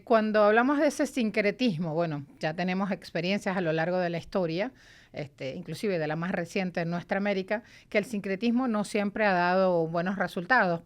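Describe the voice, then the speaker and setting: Lively woman interviewer. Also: medium pitch. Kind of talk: interview questions.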